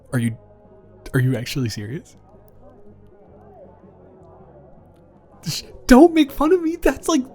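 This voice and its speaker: A man improvising a caring, flustered young ex-boyfriend in an intimate audio roleplay, speaking casually with stammers and halting phrases. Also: very high-pitched, a little mellow, pitched above the performer's own voice, full character voice. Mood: astonished.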